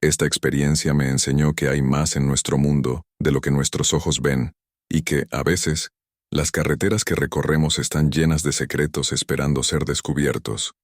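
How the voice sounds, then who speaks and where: A man narrating first-person horror stories in a steady, measured storytelling manner. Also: extremely low pitch, no echo, clean studio sound.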